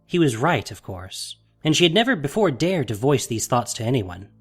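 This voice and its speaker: A man's voice: medium pitch, sing-song, moderate pace, breathy edge, soft background music. A man narrating a fantasy audio drama from a script, as its storyteller.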